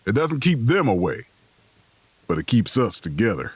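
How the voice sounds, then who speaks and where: An actor playing a weary, watchful drifter who narrates a horror audio drama in a folksy, subdued, husky voice. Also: male voice, on the low side, very animated, moderate pace, no echo. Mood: tense, weary. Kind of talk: audio drama first-person narration and dialogue.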